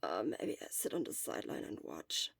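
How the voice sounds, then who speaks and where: A woman voicing a sickly, gentle teenage schoolboy with a quiet, halting, breathy delivery in an amateur audio story. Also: lightly acted. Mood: weary. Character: sickly, weary, gentle fifteen-year-old schoolboy. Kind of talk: amateur audio fan-fiction acting.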